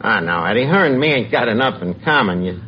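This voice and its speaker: Male actor as slangy New York barkeep, wisecracking, lively swooping pitch, on muffled, noisy 1940s radio. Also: fast talker, natural voice. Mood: scheming. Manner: folksy wisecracks in slangy, ungrammatical talk. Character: wisecracking, streetwise tavern manager with mangled grammar. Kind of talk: 1940s radio comedy acting.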